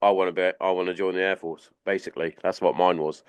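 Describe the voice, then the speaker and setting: This is an English man hosting a casual podcast, with a slightly low voice. He often stumbles and restarts his sentences before getting them out. Between these stumbles he jokes and swears in easy banter. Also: flat delivery, quick pace, clear, resonant tone. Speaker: podcast host, veteran.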